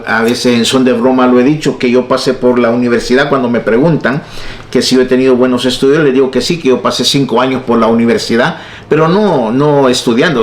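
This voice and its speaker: A Salvadoran-accented man in his sixties, a pastor telling his life story in an interview. He jokes at his own expense and laughs along. His voice rings with the echo of the room. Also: medium pitch. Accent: Salvadoran Spanish. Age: 60s. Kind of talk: personal testimony in an interview.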